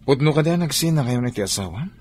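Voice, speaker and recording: An actor playing an eager suitor in a radio drama, pleading and earnest, with an Ilocano accent. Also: male voice, mid-range voice, animated, fast, a little mellow, a little hiss or hum, natural voice. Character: Jake, a man courting a married woman.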